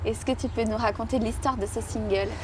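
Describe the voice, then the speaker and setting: A woman's voice: a little high, fairly even intonation, fairly quick, a little mellow, slight background noise. A woman radio host interviewing a guest, enthusiastic and full of compliments.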